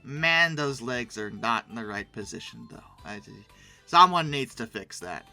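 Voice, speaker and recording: Bright, animated, sarcastic commentator. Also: mid-range voice, unhurried, faint music behind. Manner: sarcastic, theatrical, breaking into mock outbursts. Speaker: YouTube host reviewing old dinosaur art. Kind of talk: casual humorous commentary.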